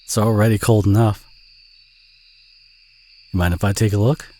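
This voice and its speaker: A man playing a friendly, modest country boy with a Southern US accent, chatty and gently teasing in an intimate audio roleplay. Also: on the low side, fairly even intonation, normal speed, natural voice. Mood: friendly concern. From southern United States.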